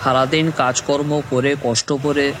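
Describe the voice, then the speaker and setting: A man's voice: medium pitch, flat delivery, a little slow, background noise, natural voice. A man playing a dejected, jobless young son who narrates his own cartoon story. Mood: despairing. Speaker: narrator and lead character. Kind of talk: first-person dramatic story narration. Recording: music bed under voice.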